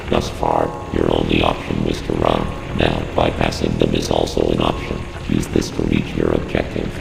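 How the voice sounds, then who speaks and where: A video game computer AI speaks in an abyssally low, flat monotone full of gravel. It is cold, formal and clinical, with robotic processing. The measured briefing delivery never warms. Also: male voice, full character voice. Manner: measured, impassive, formal, authoritative. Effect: synthetic robotic processing. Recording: echoey long reverb, clear background noise.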